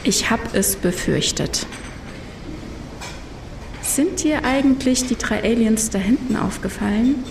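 A wry, hesitant travel companion, acted by a woman, speaks slowly in a fairly high voice. Her sceptical lines come from a roomy, slightly distant audio drama recording. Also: expressive, vocal fry, heavily acted. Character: sceptical, wry woman friend reluctantly joining an adventure. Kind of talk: German science-fiction audio drama. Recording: roomy, distant mic, background noise.

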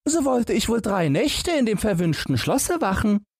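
A man's voice: high-pitched, very animated, moderate pace, creaky, breathy edge, no echo, studio quality, voice pushed up, full character voice. A man voicing a naive, simple young lad in a fairy tale, with a guileless, wistful way of talking. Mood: naive, earnest.

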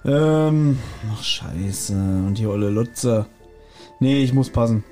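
A man's voice: medium pitch, lively intonation, slow pace, mellow, soft background music. Chatty man thinking aloud. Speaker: podcast co-host guessing episodes. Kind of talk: casual podcast chat.

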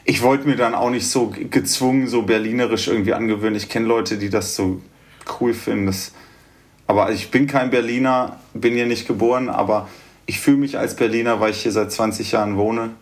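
Male guitarist guest, standard German, relaxed storyteller. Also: fairly low pitch, fairly quick. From Göttingen, Germany. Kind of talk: casual interview chat.

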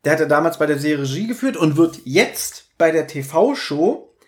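Male podcast co-host, voice a little on the high side. Informal, animated, conversational reviewer. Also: moderate pace. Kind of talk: casual conversational audio drama review.

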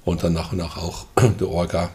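An older man from the Netherlands with a Dutch accent, talking hesitantly with many fillers and throat clearing as an interview guest. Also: deep voice, little pitch movement, normal speed, darkish tone. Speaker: guest, festival co-manager. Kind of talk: casual interview chat.

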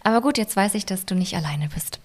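A young woman co-hosting a casual podcast, teasing and quick with short interjections. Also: mid-range voice, animated. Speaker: podcast co-host. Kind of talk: casual couple podcast chat.

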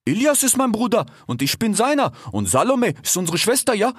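A man voicing a slow-witted, suspicious male cheetah with blunt slang and questioning tags in a storybook reading. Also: high-pitched, sing-song, husky, dry recording, studio quality, pitched above the performer's own voice, exaggerated voice. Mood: defiant.

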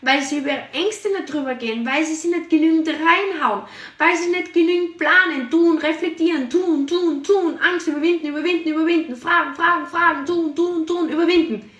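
Energetic high-voiced woman. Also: fairly quick, reverberant, far from the mic. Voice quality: high, shrill voice. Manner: energetic and emphatic, piles up repeated words. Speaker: podcast host and sales team leader. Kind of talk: motivational podcast talk.

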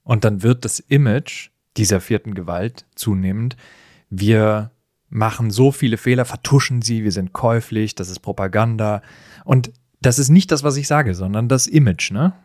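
A man's voice: lowish voice, moderate pace, darkish tone, no echo, studio quality. A man in a conversational podcast discussion, explaining things with emphatic phrasing. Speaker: podcast co-host.

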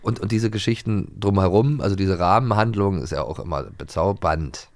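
Male co-host from former East Germany, gravelly, lower voice, easygoing and halting, dry close mic. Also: dry recording. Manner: relaxed, hesitant, with many restarts. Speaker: podcast co-host. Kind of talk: casual chat.

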